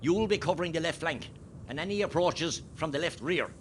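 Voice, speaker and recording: Man playing an animated Irish rebel commander. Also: fairly quick, lightly acted. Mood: confiding, steady. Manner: commanding, swinging from quiet briefing to rallying. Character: steady, rousing Irish rebel column commander, male. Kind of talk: radio play acting. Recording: faint rumbling background noise.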